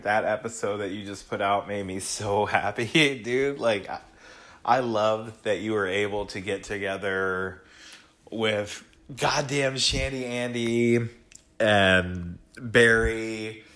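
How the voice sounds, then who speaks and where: A man's voice: fairly low pitch, slow, a bit bright. A man leaving a casual voicemail, speaking in a laid-back, slangy and effusive way.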